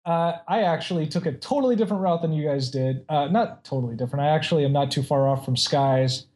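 A man's voice: on the high side, fast, darkish tone. A man casually chatting and reading out odd facts, rambling with many restarts and frequent laughs.

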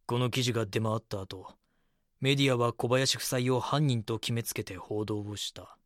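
A man's voice: medium pitch, moderate pace, no room sound, clean studio sound, natural voice. A man playing a brooding reporter who narrates an audio drama in the first person, somber and reflective. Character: brooding male reporter narrating his regretful recollection.

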